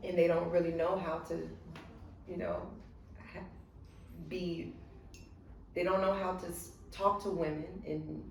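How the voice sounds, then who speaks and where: A lower-pitched Southern American woman hosts a relaxed chat, emphatic and animated as she makes her points. She sounds set back from the mic in an echoey room with a faint hiss behind her. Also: unhurried, long pauses, recorded from a distance. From United States. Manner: animated, emphatic, often asks for agreement. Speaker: podcast host. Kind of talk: casual conversation. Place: room in Dubai.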